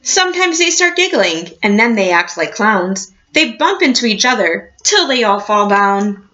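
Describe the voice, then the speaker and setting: A woman reading a picture book aloud like a teacher, expressive and encouraging, addressing young children. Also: medium pitch, normal speed, highs cut off. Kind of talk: storybook read-aloud with questions to a class.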